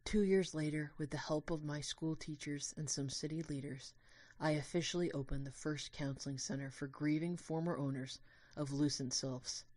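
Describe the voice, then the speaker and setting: A woman playing an anguished, devoted young narrator in an audio drama, with intense, expressive delivery. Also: low voice, normal speed, few pauses, dry recording. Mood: reflective.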